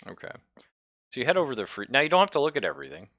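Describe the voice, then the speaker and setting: A man running a tabletop roleplaying game, improvising his descriptions haltingly as he thinks aloud. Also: medium pitch, huge pitch swings, a bit bright, no echo. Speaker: game master.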